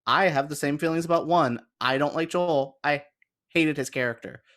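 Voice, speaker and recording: A man's voice: fairly high pitch, moderate pace, a bit bright. A man chatting casually as a podcast panelist, giving frank opinions. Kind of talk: casual chat about films and games.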